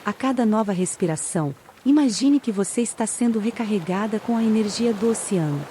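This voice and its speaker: A Brazilian woman leads a scripted guided meditation in a mellow, relaxed voice of medium pitch. Her delivery is calm and soothing, with long pauses between phrases. Gentle sea waves sound faintly behind her. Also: a little hiss or hum.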